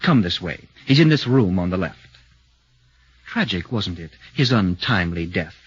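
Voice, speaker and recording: A dull, dry vintage radio recording holds a grave official from a 1940s play. His voice is low and very raspy. He sounds sombre. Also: lively intonation, normal speed, no echo, exaggerated voice. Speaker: radio actor.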